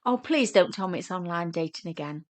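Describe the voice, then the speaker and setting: A woman voicing a sarcastic, teasing and immature younger sister in a comic audio story, bickering and excitable. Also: on the low side, fairly quick, lightly acted. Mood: mocking.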